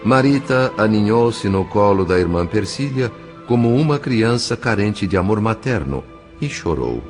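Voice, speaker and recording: Deep male narrator, formal literary storytelling, audio novel style, quiet music behind. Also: expressive, a little slow. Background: faint music.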